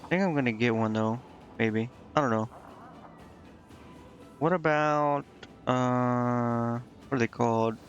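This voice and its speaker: A man's voice: medium pitch, slow, long pauses, lo-fi sound. A man streaming a game, muttering indecisively to himself in casual commentary as he thinks aloud. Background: game music.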